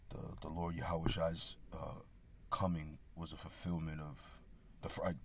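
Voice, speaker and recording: A man with a slightly raspy, hoarse voice giving a casual religious talk, halting with many restarts and frequent throat clearing. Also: rumbling low, slight background noise. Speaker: religious video speaker.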